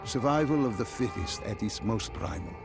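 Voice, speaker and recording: Game role: philosophical, insistent male guide, formal and deliberate, expressive pitch movement, clear music underneath. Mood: probing, philosophical. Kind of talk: dramatic scripted game dialogue.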